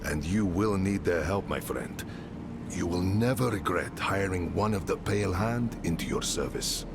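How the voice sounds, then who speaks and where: A man's voice: sits low, moderate pace, gravelly, background noise. An actor playing a wry, fatherly middle-aged mercenary leader and smith in a video game. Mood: friendly. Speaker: game character. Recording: game soundtrack music behind. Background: game music.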